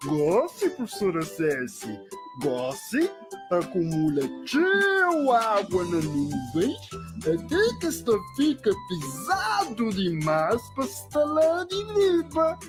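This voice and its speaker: A man plays a playful, high-voiced cartoon science tutor for children. He has a Cape Verdean accent and swoops slowly through explanations in a sing-song way, with music behind him. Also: huge pitch swings, heavily acted. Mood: instructive. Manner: sing-song, deliberate teaching delivery.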